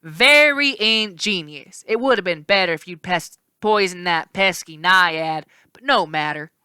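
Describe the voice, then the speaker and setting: A woman voicing a smug, scheming male rancher villain who talks in a slow, condescending drawl. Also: lowish voice, lively intonation, bright, forceful tone, smooth, no room sound, studio quality.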